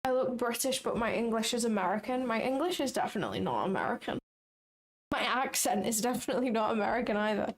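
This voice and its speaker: Young woman, fairly high voice, casual livestream trader, blunt, sarcastic, sweary. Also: lively intonation, quick pace. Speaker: futures trading livestreamer.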